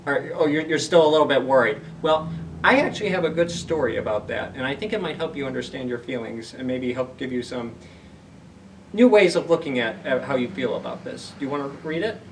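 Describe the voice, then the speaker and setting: A man's voice: mid-range voice, fairly quick, distant mic, slight background noise, poor audio. A man hosting a story time for young children, reading aloud and explaining things simply with questions to the listeners.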